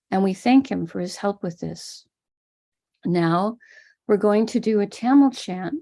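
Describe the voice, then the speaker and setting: A woman with an American accent, gently explaining and guiding a live online ritual as a spiritual teacher. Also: medium pitch, vocal fry. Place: home room in Florida.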